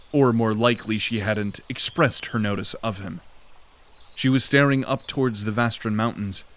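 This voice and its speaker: Male narrator for a fantasy audio drama, steady and even. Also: fairly low pitch.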